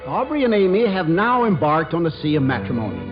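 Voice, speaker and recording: A man's voice: on the high side, sing-song, fast, over music. A man hosting and narrating a scripted 1940s radio comedy show. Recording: muffled 1940s radio recording.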